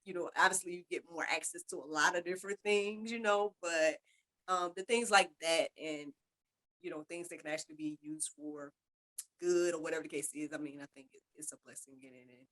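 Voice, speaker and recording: A woman's voice: sits low, steady tone, a little fast, a bit bright, low-quality recording. A woman podcast host chatting casually in an interview, with an informal, rambling way of talking. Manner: informal, rambling, repeats "you know".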